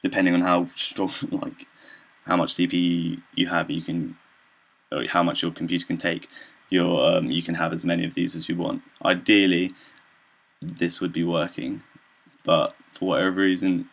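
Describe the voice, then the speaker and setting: Low-voiced young man, hesitant presenter. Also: little pitch movement, vocal fry, fairly bright tone, breathy edge. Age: young adult. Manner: hesitant, halting, loosely explanatory. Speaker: student presenter. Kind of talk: informal software demonstration.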